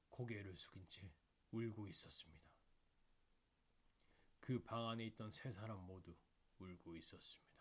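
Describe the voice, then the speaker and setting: A man narrates an emotional audio story. His voice sits on the low side with a husky grain, and his delivery is deliberate, solemn and dramatic. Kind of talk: scripted sentimental story narration.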